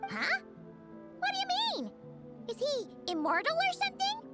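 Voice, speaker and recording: A tiny childlike sidekick, extremely high and squeaky, swinging all over in pitch. The character is curious and easily confused, blurting questions in anime game style over music. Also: female voice, huge pitch swings, unhurried, heavily acted. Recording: music behind.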